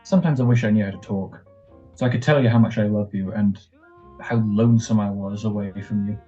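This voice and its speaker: A man's voice: fairly low pitch, fairly even intonation, a little fast, dark tone, natural voice. A man playing an affectionate, teasing young husband back from military service in a 1940s-style radio drama, wistful and a little hesitant. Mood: wistful, earnest. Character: tender, playful young returned serviceman husband. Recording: dull muffled audio, faint music.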